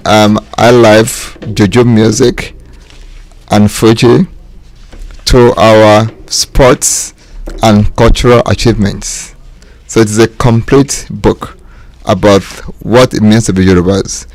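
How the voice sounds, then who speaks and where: A man giving an explanatory talk as a radio guest, in deliberate phrases broken by pauses. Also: fairly low pitch, darkish tone, no room sound. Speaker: author and radio guest speaker.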